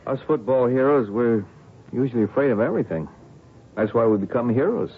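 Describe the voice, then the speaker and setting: A modest, easygoing college athlete played by a man in a radio drama. He talks casually with lively swings of pitch, on a thin recording with faint noise. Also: fairly quick, phone-quality sound, natural voice. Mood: amused, modest. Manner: casual, self-deprecating.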